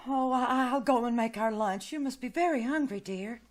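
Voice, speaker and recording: High-voiced, coaxing nanny, played by a woman. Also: normal speed, lightly acted. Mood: uneasy, soothing. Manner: coaxing, motherly. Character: kindly, fretful nanny soothing a little girl. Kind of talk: old-time radio drama acting, live on stage.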